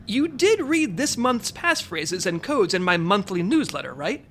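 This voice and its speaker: An actress playing an earnest, excitable, by-the-book secret agent in an audio comedy drama. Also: female voice, mid-range voice, measured pace, a little hiss or hum. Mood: eager, supportive.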